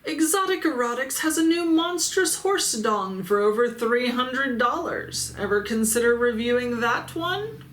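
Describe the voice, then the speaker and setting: A woman's voice: a little high, expressive, measured pace, fairly bright tone. A Midwestern woman reading viewer comments aloud in a casual vlog, blunt, sarcastic and freely swearing. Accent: Midwestern American. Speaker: adult toy reviewer and YouTuber. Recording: roomy echo with faint ambience.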